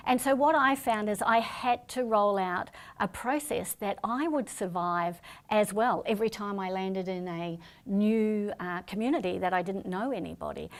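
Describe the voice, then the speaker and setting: A middle-aged woman, a speaker and trainer, answering interview questions in a calm, explanatory way. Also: medium pitch, normal speed, hardly any pauses. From New Zealand family, born in Singapore. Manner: calm, explanatory, self-promoting.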